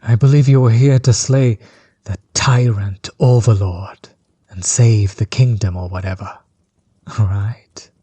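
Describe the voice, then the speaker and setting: Male actor as smug tyrant overlord, audio roleplay: low, heavily husky, close and dry, theatrically mocking. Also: fairly even intonation, dark tone, no room sound. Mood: amused, taunting. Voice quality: husky, breathy, often dropping toward a whisper. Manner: mocking, condescending, drawn-out theatrical delivery. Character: smug, bitter male villain overlord, grudge against old companion. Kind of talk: scripted roleplay audio drama monologue.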